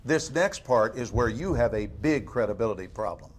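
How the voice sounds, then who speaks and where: A man playing a calm, probing interrogator. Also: medium pitch, slight background noise, lightly acted. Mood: cool questioning. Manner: measured, dry, slightly sarcastic. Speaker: interrogating agent.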